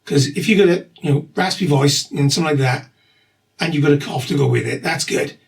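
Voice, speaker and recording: A middle-aged man with a husky voice and a slight cough, talking through his treatment in a rambling, matter-of-fact video diary. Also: on the high side, brisk. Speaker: vlogger documenting his cancer treatment.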